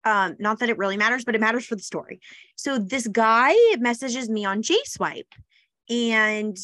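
A woman in her late twenties hosts a casual podcast, bubbly and quick to laugh. Her voice sits a little high. The sound is close and dry. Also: bright, forceful tone, no room sound. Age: 20s. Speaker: podcast host. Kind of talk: casual chat.

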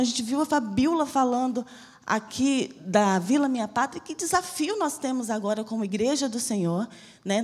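A woman's voice: high voice, a little mellow, breathy edge, big-room sound. Fervent Brazilian woman preaching from Bahia. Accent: Bahian Portuguese mixed with Rio de Janeiro. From Salvador, Bahia, Brazil. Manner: fervent, emphatic preaching with slow, stressed phrases. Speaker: missionary preacher. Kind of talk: church sermon. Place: church hall.

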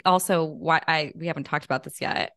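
A young woman co-hosting a podcast, chatting casually, bubbly and quick to laugh, with some offhand swearing. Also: low-pitched, steady tone, fairly bright tone. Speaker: podcast co-host. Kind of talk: casual chat.

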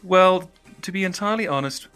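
Bright-voiced south african guy with a british-trained accent, talks in long careful sentences, faint music underneath. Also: sits high, brisk, clear, resonant tone. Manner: formal, careful diction, long winding sentences. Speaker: podcast host. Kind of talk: casual podcast chat.

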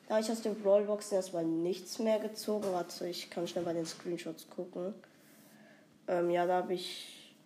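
Eine erkältete Frau, die als Host eines Gaming-Podcasts locker und aufgeregt über ihr Spiel erzählt, mit vielen Füllwörtern. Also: female voice, mid-range voice. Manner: excited, rambling, many fillers. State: has a cold. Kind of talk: casual gaming vlog chat.

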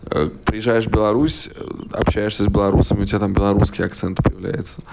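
A man's voice: lowish voice, level tone, fast, gravelly, very noisy. A man of about thirty from Russia, a podcast guest rambling in casual chat, full of fillers, restarts and blunt profanity.

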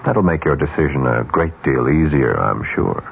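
An actor playing a smooth, calculating broker of arranged murders in a 1970s radio drama, persuasive and businesslike. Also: male voice, a bass voice, lively intonation, normal speed, exaggerated voice. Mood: quietly persuasive. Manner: businesslike, persuasive, coolly insinuating. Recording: muffled, noisy 1970s radio broadcast.

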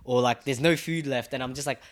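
A man's voice: mid-range voice, a little fast. A young man with an Australian accent in casual podcast chat, swearing freely and acting out quotes as he retells things. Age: young adult. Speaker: podcast co-host.

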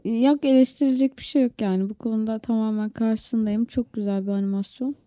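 A woman's voice: a little high, steady tone, a little fast, warm tone, no room sound, studio quality. A woman, co-hosting a podcast in casual, opinionated chat. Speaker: podcast co-host.

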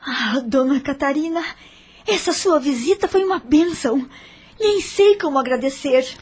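An actress with a Brazilian accent playing a grateful, resolute housewife in a radio soap, speaking in an earnest, heartfelt way. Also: female voice, high voice, lively intonation, normal speed, darkish tone. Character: grateful, resolute stepmother, a worried younger housewife. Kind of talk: radio soap opera acting.

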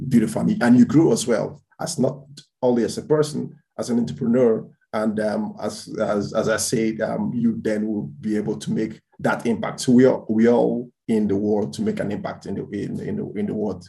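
A man's voice: on the low side, gravelly, warm tone. A man, a guest entrepreneur born in Spain and raised in Portugal, talking in a casual interview with many restarts and repeated words.

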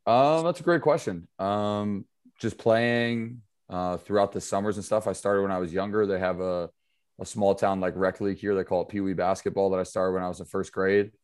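A relaxed man from upstate New York chats as a guest on a basketball podcast, his voice sitting a little low. He talks loosely, leaning on fillers and easy asides. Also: normal speed, smooth. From upstate New York, USA. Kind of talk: casual interview chat.

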